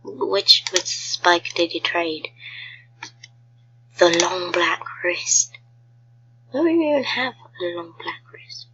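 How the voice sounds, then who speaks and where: A woman's voice: lowish voice, expressive, slow, pauses often, fairly bright tone, muffled recording, a little hiss or hum. A woman with a cold, chatting casually to viewers as she thinks aloud during gameplay commentary. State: has a cold, sounds ill. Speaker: gaming video creator.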